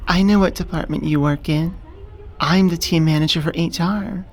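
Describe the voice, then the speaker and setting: A woman playing a smitten, businesslike elf manager in a soft-spoken ASMR roleplay, earnest and deliberate. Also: deep voice. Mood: composed, forward. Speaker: voice actor.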